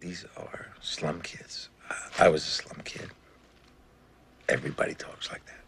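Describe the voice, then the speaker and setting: A deep, gravelly placating writer. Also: male voice, fairly quick, slight background noise. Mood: calm, low. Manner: calm and reasoning, soothing an angry woman. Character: injured male novelist, calm and placating under threat. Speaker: film character.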